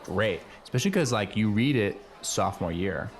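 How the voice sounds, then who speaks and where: A man playing an earnest, know-it-all high school boy in an improvised comedy radio play, rambling casually with lots of slang. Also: expressive, normal speed. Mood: earnest, informative. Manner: rambling, hesitant, peppered with "like" and slang.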